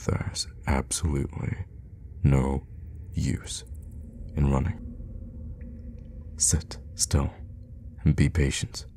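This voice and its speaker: A man playing a suave, teasing, sadistic vampire lord in an ASMR roleplay, speaking in an unhurried, theatrical and menacing way with a gravelly voice. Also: warm tone, slight background noise, heavily acted. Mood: teasing menace. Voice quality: very deep, gravelly. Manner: unhurried, theatrical, with drops into whispers. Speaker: voice actor in an audio roleplay.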